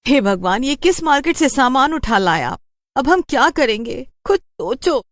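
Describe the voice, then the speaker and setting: A woman's voice: sits high, sing-song, unhurried, no echo, studio quality, voice pushed up. A woman voicing a nagging, urgent wife and mother in a cartoon horror story. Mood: exasperated. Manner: scolding, urgent, exclamatory. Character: nagging, alarmed young mother and wife ordering husband around.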